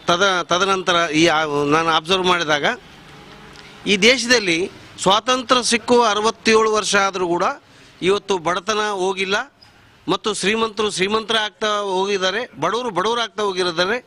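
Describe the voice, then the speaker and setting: A middle-aged man delivers political opinion like a speech. His voice is high and forceful, with emphatic, repeated phrases built up for effect. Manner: emphatic, rhetorical repetition. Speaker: member of the public giving views.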